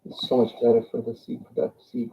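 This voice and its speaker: A man chatting casually, with a halting way of speaking that repeats words. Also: medium pitch, monotone. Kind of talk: casual chat. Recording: dull, muffled lo-fi sound.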